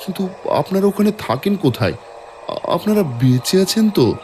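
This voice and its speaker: A man voicing an anxious, bewildered young male boat passenger in an animated horror story. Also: high-pitched, normal speed, a little hiss or hum, pitched above the performer's own voice. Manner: anxious, urgent questioning.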